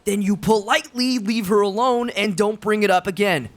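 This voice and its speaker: Man acting an anxious, high-voiced teenage schoolboy. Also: moderate pace, fairly bright tone. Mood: exasperated. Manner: hesitant, nervy, exasperated. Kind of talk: animated fandub drama acting.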